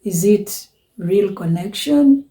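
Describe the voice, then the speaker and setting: A woman hosting a reflective social commentary, speaking in a measured, questioning way. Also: medium pitch, animated, mellow. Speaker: commentary host.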